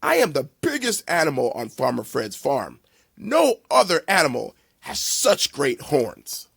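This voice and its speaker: A man voicing a big, boastful young bull in a storybook, loud, deep and taunting. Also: huge pitch swings, unhurried, creaky, breathy edge, no room sound, studio quality, exaggerated voice.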